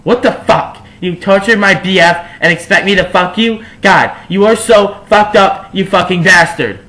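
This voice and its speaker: A man voicing a melodramatic, vain, foul-mouthed teenage goth girl in a whiny, indignant shout for a story reading. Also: high-pitched, normal speed, pitched above the performer's own voice, poor audio. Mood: furious, indignant.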